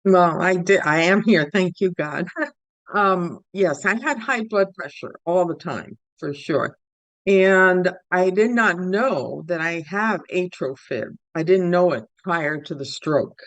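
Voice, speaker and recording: A woman's voice: lowish voice, slow pace, dry recording. A talkative woman from Cuba, a guest casually retelling a personal experience with repeated words for emphasis. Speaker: stroke survivor guest.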